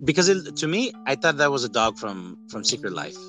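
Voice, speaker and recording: A man in a casual, rambling podcast-style chat reviewing a film, with animated retellings. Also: mid-range voice, brisk, soft background music. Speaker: podcast host.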